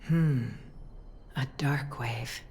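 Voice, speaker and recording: An actress playing a gentle, doting priestess mother in a video game, soothing and measured, with a soft breathy voice. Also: a bass voice, slight background noise, heavily acted. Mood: soft, grave.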